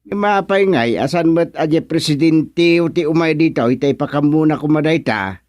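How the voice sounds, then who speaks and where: A man acting a patient but firm village official in a radio comedy drama, with a Filipino accent and drawn-out hums. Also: a little high, expressive, darkish tone, no room sound, studio quality, lightly acted. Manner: hemming, drawn-out acknowledgements.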